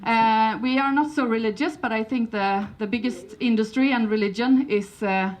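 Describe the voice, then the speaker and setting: A woman's voice: a little high, normal speed. Swedish-accented woman giving a conference talk. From Sweden. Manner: hesitant, many fillers and restarts. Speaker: conference speaker. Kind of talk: conference presentation.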